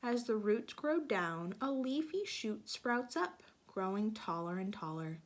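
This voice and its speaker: A woman teacher reads a children's picture book aloud at an unhurried pace. Her voice sits at a middle pitch. She pauses often to explain things patiently and ask the little listeners questions. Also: lively intonation.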